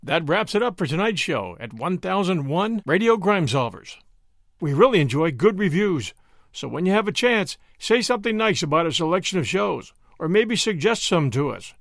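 A man with a fairly high, gravelly voice gives a friendly, casual sign-off. His pitch moves in a lively way, and he sounds a little distant from the mic. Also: moderate pace, no echo, studio quality. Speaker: podcast host.

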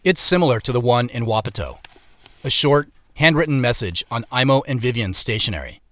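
An actor playing the uneasy first-person narrator of a mystery audio drama, telling it in measured, suspenseful phrases. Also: male voice, medium pitch, animated, fairly bright tone, no echo, lightly acted.

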